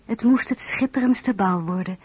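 An actress playing a composed, polite young marshal's wife in an audio drama, formal and restrained. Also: female voice, mid-range voice, normal speed, close to an everyday voice. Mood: reflective. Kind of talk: audio drama narration and dialogue.

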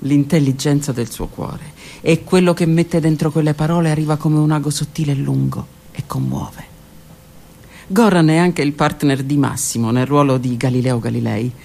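Actress in an intimate stage monologue. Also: female voice, low-pitched, steady tone, moderate pace, gravelly, warm tone. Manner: intimate, reflective, shifting between narration and direct address. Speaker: actress and theatre teacher. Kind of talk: theatrical monologue for radio.

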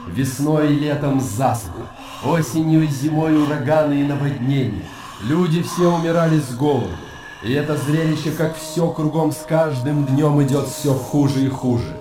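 An actor playing a chronicler who solemnly recalls disasters of old, in declamatory radio drama narration. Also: male voice, medium pitch, moderate pace, over music, close to an everyday voice. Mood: grave, bleak. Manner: grave, declamatory storytelling. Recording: clear background ambience noise.

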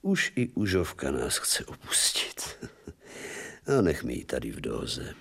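An actor playing a weary, bitter, irascible old professor in a theatrical radio drama. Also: male voice, very deep voice, huge pitch swings, creaky, a bit bright, breathy edge, heavily acted. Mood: weary, melancholy. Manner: theatrical, swinging from resigned murmur to agitated exclamation.

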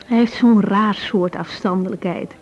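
A woman's voice: medium pitch, steady tone, moderate pace, lightly acted. Actress as thoughtful young woman doctor, dull sound. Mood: frank, confiding. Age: young adult. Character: young woman doctor, reflective, self-doubting, gently frank. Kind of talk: naturalistic radio drama dialogue. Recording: dull 1980s radio recording.

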